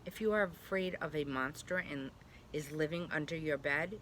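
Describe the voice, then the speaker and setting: A woman preschool teacher reading a storybook aloud to young children, gently and reassuringly, with chatty asides. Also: low voice, normal speed, slight background noise. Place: at home.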